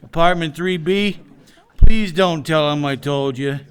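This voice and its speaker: A man's voice: fairly high pitch, measured pace, smooth, close to an everyday voice. A man playing a timid, stammering deli clerk who informs in a noir radio play. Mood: nervous.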